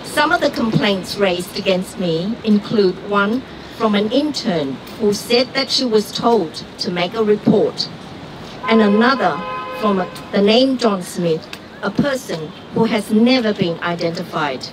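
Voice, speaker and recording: A woman from Vietnam, giving an earnest, deliberate prepared speech at an outdoor rally. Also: mid-range voice, lively intonation. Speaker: rally speaker, doctor. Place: outdoor street gathering. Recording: far-off street recording with traffic noise.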